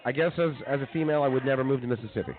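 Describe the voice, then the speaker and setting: A man's voice: medium pitch, racing. A man co-hosting a comedy radio show with casual, slangy, joking banter. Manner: colloquial, peppers talk with "man" and "dude". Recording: tinny phone-like band-limited sound.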